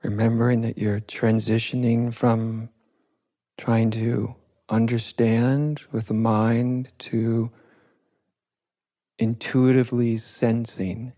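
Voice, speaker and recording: Slow, mellow-voiced man guiding meditation. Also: medium pitch, little pitch movement. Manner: unhurried, halting, pausing between short phrases. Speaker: meditation teacher. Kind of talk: guided meditation, softly spoken instruction.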